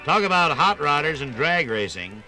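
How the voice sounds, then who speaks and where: A man narrating a scripted 1950s radio program in a theatrical, folksy storytelling manner with drawn-out emphasis. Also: on the high side, sing-song, sharp tone, faint music behind, poor audio.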